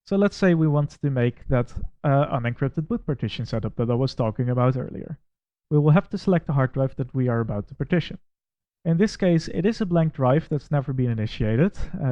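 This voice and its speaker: A man with a Dutch accent talks through a screen recording, steady and methodical, with pointed criticism. His voice sits at an ordinary middle pitch. The sound is dry and a little dull, like a simple home mic. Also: a little fast, no echo. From Netherlands. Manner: critical, methodical, plainly spoken. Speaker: tech reviewer. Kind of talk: screen-recorded software walkthrough.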